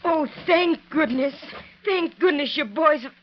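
A woman's voice: high voice, expressive, unhurried. An actress playing an anxious, proper ranch woman who exclaims breathlessly in an old-time radio western. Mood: frightened, fluttery. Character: frightened, fluttery ranch widow, proper and anxious. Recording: dull, faintly noisy vintage radio recording.

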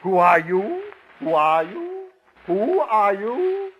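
An actor playing a scheming male prisoner who fakes guilt-crazed madness, switching between sly murmurs and theatrical shouting in a 1940s radio play style. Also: sits high, big pitch swings, slow, dull audio, slight background noise, full character voice. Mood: indignant.

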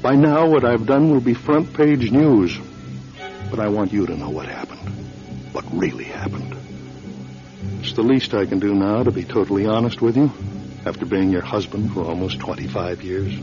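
An actor playing a troubled, earnest middle-aged solid citizen with a formal manner in a classic radio drama. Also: male voice, lowish voice, moderate pace, lightly acted. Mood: grave, confessional. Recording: dull lo-fi radio recording, music behind.

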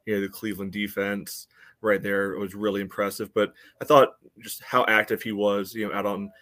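A man giving casual soccer analysis as a podcast panelist, in a halting way padded with filler phrases. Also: fairly low pitch, level tone, sharp tone.

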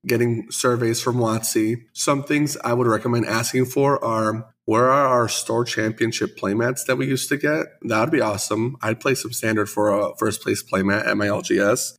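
A man's voice: on the low side, fairly bright tone. A man co-hosting a podcast, congested after the flu, chatting casually and enthusiastically with the odd self-deprecating joke. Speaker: podcast co-host and store owner.

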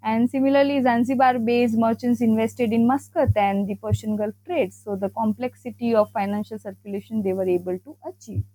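A woman giving an academic account of merchant trading networks, measured and punctuated with fillers. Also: on the high side, steady tone, warm tone, poor audio. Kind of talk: academic presentation.

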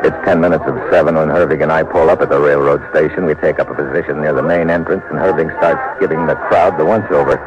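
A man's voice: rumbling low, steady tone, quick pace, few pauses, over loud music. A man playing a tough, wry American government agent in an old-time radio drama, talking in a clipped, hard-boiled way. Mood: brisk, hard-boiled narration. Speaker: lead actor and narrator. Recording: dull vintage radio recording.

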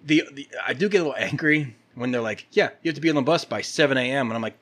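A man's voice: mid-range voice, very fast, a bit bright. A young adult man, a podcast guest, telling a rambling, swearing travel story in casual chat.

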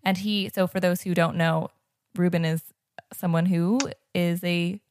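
A woman's voice: fairly low pitch, flat delivery, a little slow, a little mellow, a little hiss or hum. A woman hosting a casual interview, asking rambling questions full of fillers and backchannels. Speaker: podcast host.

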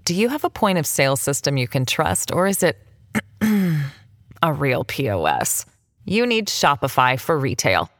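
Low-pitched, animated woman advertiser. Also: measured pace, dry recording, studio quality. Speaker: advert narrator. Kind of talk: scripted podcast advertisement.

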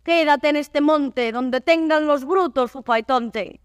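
An actress playing a proud, troubled noblewoman traveller, declaiming classical verse in a grand, measured way. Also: high voice, no echo, clean studio sound. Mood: indignant despair.

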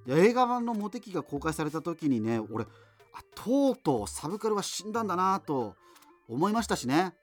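An actor playing a self-deprecating, subculture-obsessed radio host, rambling through a monologue with apologetic asides in a radio drama. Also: male voice, sits high, big pitch swings, brisk, faint music behind. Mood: wry, rambling. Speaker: radio show host answering listener letters.

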